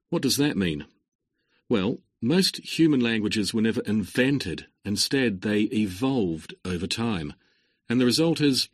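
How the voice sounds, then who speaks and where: A man giving a lecture for a listening exam, with clear, measured delivery that lifts on linking words. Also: lowish voice, no echo, clean studio sound. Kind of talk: scripted exam-recording lecture.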